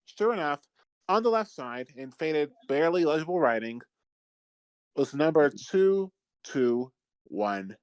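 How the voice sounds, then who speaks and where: Theatrical male narrator with lively, swooping pitch. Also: clean tone, no echo, rough recording. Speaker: narrator reading fan fiction aloud with friends. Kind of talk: dramatic mock-serious story narration. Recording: music behind, deliberately old-fashioned microphone sound.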